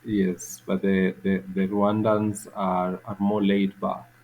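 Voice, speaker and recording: A man from Kenya with a Kenyan accent, a podcast guest answering questions reflectively, halting with many repeats and fillers. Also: sits low, level tone, phone-quality sound, slight background noise.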